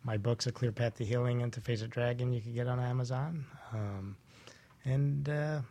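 A man's voice: mid-range voice, steady tone, moderate pace, dark tone. A man speaking casually as an expert guest in a radio interview. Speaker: guest doctor and author.

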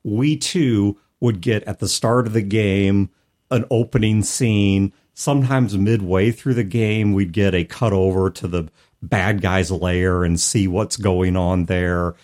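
Low-voiced man in reflective podcast discussion. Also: steady tone, measured pace, darkish tone. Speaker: podcast host. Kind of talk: casual discussion of tabletop role-playing games.

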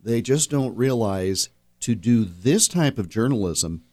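A man hosting a public radio interview program, speaking in a measured, conversational way. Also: fairly low pitch, animated, darkish tone, no room sound. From Iowa.

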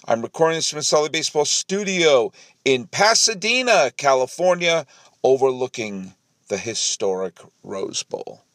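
A man in his forties hosting a solo podcast, chatty and rambling with lots of self-deprecating asides. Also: fairly high pitch. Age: 40s. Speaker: podcast host. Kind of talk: casual solo podcast monologue. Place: home studio.

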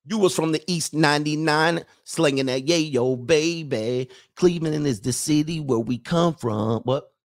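A man talking casually to his audience in an animated way, mocking people with quick put-on impressions and laughing at his own jokes. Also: fairly high pitch. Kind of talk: casual commentary monologue.

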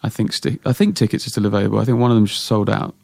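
A man being interviewed as a guest musician in a radio studio, answering in a relaxed, soft-spoken, unhurried way. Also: fairly low pitch, vocal fry, no room sound.

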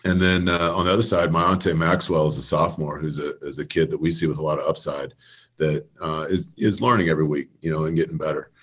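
Brief: deep, husky man, football coach on a video call, halting and rambly. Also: fairly even intonation, a bit bright. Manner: rambling, halting, many fillers and restarts. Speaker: high school football coach, guest. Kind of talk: casual radio interview.

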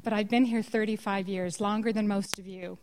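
An older woman from California with an American accent, sharing her personal testimony to a church congregation, often clearing her throat. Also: mid-range voice, fairly even intonation, fairly quick, darkish tone. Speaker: guest speaker sharing testimony. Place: church hall. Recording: long echo of a church hall.

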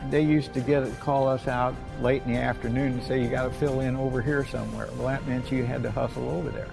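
Elderly American male veteran, oral-history storyteller, plain-spoken and folksy, with a gravelly edge over a music bed. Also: mid-range voice, steady tone, fairly quick, low-quality recording. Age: older. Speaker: World War II veteran recounting memories. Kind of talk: unscripted oral-history reminiscence.

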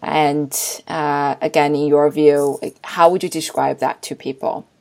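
A woman hosting an interview, asking questions in a measured, encouraging way. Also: low-pitched, flat delivery, creaky, darkish tone. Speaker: interviewer host.

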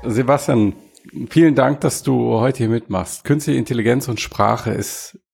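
A man hosting a podcast, introducing the episode and asking measured questions. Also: mid-range voice, vocal fry, darkish tone, husky, faint music behind. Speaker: podcast host.

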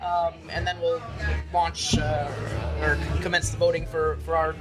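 A man from a brewery, with a Western New York accent, answering questions at a launch party in a measured way with frequent ums. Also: a little high, level tone, over loud music. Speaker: brewery founder. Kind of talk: casual interview. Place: launch party at a pizza restaurant.

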